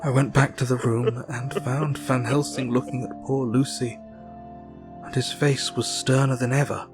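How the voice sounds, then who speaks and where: An actor with an English accent playing an earnest, grieving Victorian doctor, narrating his diary in measured, formal speech for an audio drama. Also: mid-range voice, little pitch movement, over music, lightly acted. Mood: solemn, grieving.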